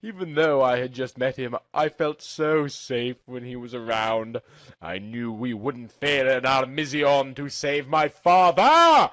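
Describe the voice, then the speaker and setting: A man's voice: mid-range voice, expressive, unhurried, a bit bright, no room sound. Theatrical man reading a story, dry sound. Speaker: narrator. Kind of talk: dramatic amateur story reading in first person.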